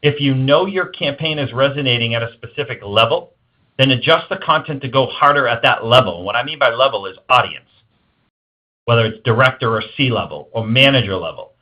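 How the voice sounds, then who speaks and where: A man with a medium-pitched voice answers interview questions as an executive guest. His delivery is conversational, with emphatic points of advice. The audio is dull and lo-fi, lacking some top end. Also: lively intonation, brisk. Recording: dull lo-fi band-limited audio.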